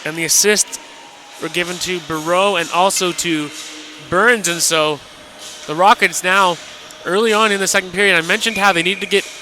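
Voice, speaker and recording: A man's voice: high voice, fairly even intonation, normal speed, sharp tone, slight background noise. A man giving live colour commentary on ice hockey, walking through each play step by step. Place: ice rink, live broadcast position.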